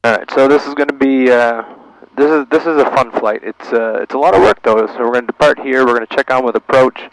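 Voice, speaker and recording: A man's voice: medium pitch, quick pace, rough recording. A man piloting a small plane, thinking aloud in short procedural phrases and making radio calls over a headset. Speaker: pilot narrating his own flight. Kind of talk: cockpit vlog narration mixed with radio calls. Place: small airplane cockpit. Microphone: aviation headset. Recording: faint engine and radio noise.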